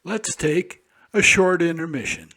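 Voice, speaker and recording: A man announcing with a smooth, even, scripted delivery. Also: a little high, a little slow. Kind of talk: scripted promo.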